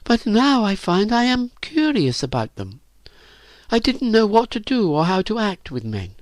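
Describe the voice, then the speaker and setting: Storybook role: wistful, self-doubting young slave woman, raised pitch, dry sound male reader acting it. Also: huge pitch swings, unhurried, no echo, heavily acted. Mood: wistful, timid.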